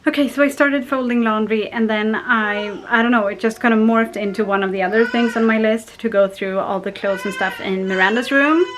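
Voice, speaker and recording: A woman's voice: a little high, fairly even intonation, fairly quick, few pauses, noisy background. A woman in her thirties from Sweden, chatting casually to a handheld camera at home and laughing often between her words. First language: Swedish. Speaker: family vlogger. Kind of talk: casual vlog chat. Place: family home, indoors. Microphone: handheld camera at arm's length.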